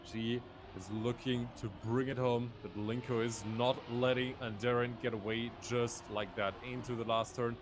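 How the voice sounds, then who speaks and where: Male esports caster over clear background noise. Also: medium pitch, little pitch movement, moderate pace, few pauses. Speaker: esports play-by-play commentator. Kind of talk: live racing game commentary.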